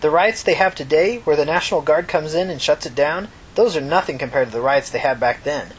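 Man doing a high-pitched, talkative old city woman. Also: a little fast, slight background noise, lightly acted. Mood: reminiscing, emphatic. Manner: folksy, earnest, emphatic. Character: solemn, tired, talkative old city woman, proud mother. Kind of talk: dramatised fiction reading.

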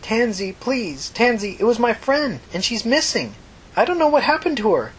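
A woman's voice: a little high, sing-song, moderate pace, slightly rough, pitched above the performer's own voice, low-quality recording. A woman voicing a desperate, stammering teenage runaway girl in an emotional audiobook story. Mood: desperate pleading. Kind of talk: audiobook character dialogue.

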